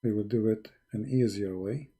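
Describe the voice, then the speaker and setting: A man's voice: fairly low pitch, fairly even intonation, moderate pace, dark tone, breathy edge. A man hosting a live-stream debate with an Arabic accent, insistently reading out sources and talking over his caller.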